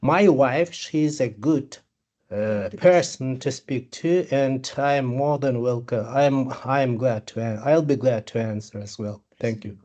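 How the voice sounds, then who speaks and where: A man's voice: mid-range voice, takes it slowly, dark tone, dull audio. A man speaking on a video call who restarts and repeats words as he goes. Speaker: guest speaker. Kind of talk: casual panel talk.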